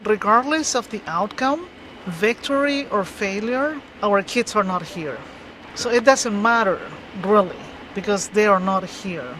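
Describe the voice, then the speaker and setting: High-voiced man in a noisy interview. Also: animated, a little slow, a bit bright. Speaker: interviewee, bereaved parent. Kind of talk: earnest interview answers.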